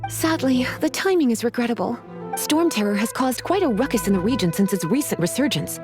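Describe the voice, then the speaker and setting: A teasing witch librarian from a video game, played by a woman. Her voice sits fairly high and swoops playfully, coy and languid. Music plays clearly behind her. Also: expressive, a little fast, lightly acted.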